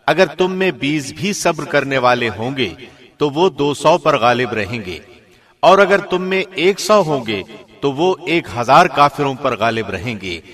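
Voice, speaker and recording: A man reading a scripture translation from a script in a measured, formal announcer's delivery. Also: mid-range voice, expressive, fairly bright tone. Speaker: translation reader, radio host.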